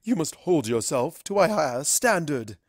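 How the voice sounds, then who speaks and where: Villainous royal mare mother: imperious, slow, grandiose, animated, for a pony audio story. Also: low voice, dry recording, clean studio sound. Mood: stern, scolding. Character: imperious evil princess mare, stern scolding mother. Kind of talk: fan fiction audio reading.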